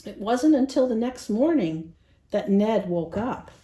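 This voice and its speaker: A woman reading a children's story aloud as a storyteller, in an expressive narrating style. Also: fairly high pitch, unhurried, slight background noise. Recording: echoey, roomy sound.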